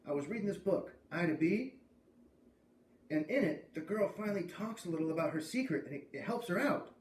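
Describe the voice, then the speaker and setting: An earnest, bookish schoolgirl voiced by a man confides gently in a classmate, in a storybook read-aloud. The voice comes from a little way off in a roomy space, with faint noise. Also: high-pitched, animated, brisk, voice pushed up. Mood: confiding. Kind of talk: children's novel read-aloud. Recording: roomy, distant mic, faint background noise.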